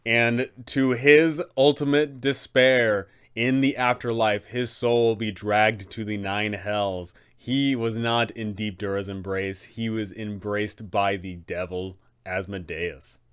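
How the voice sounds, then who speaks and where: A man's voice: fairly low pitch, steady tone, slow, few pauses, a bit bright, smooth. A young adult man hosting an explanatory tabletop game video, speaking in an enthusiastic, emphatic way. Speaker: host, game master advisor. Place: small room indoors, seated.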